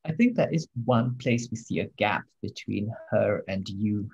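A man, the book's translator, speaking in a measured, analytical way about the novel. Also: on the low side, animated, gravelly, a little mellow, slightly rough, muffled recording. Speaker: translator guest. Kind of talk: thoughtful discussion.

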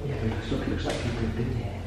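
A young man vlogging a walk through a dark abandoned building, chatty, jokey and easily startled, often dropping to a hush. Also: mid-range voice, level tone, rapid-fire. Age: young adult. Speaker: ghost-hunting vlogger. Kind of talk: casual exploration vlog. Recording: echoey rooms, background noise.

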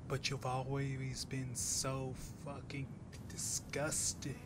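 A harsh, mocking, domineering woman, acted by a man in an ASMR roleplay. The delivery is slow and scornful, with swearing and drawn-out emphasis, at a middling pitch for a man. Loud insect buzzing and rumble sit behind the voice. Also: completely flat delivery, lightly acted. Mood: scornful, incredulous. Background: buzzing flies sound effect.

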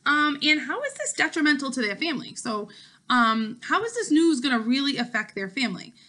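Bright-voiced woman teacher reading aloud. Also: high voice, very animated, a little fast. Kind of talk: read-aloud storytelling with casual class talk. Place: home kitchen, indoors.

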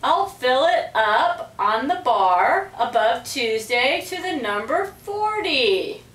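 Woman as an eager girl, high-pitched. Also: big pitch swings, slow, fairly bright tone, exaggerated voice. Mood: eager, proud. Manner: announcing, exclaiming. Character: eager, organized girl announcing lemonade sales. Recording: roomy, distant microphone.

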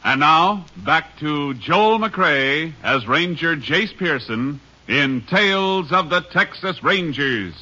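A man announces formally in 1950s radio style. His voice is a bit high and his delivery is slow. Music plays behind him on a dull old recording. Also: expressive.

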